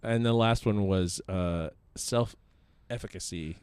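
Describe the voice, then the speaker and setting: A man co-hosting a podcast, chatting casually and haltingly with fillers and restarts. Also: deep voice, a little mellow, clear, resonant tone, no echo. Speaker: podcast co-host. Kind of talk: casual chat.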